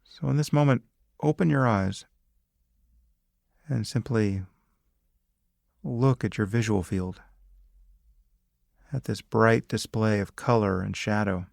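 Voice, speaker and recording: A man leading a guided meditation as a teacher, speaking calmly and deliberately in short phrases with long pauses. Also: on the low side, creaky, no echo, clean studio sound. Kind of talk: guided meditation, spoken instruction.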